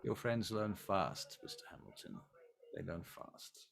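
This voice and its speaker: A man with a low, creaky voice, voicing a suave and imperious male vampire prince who speaks in a formal, measured way.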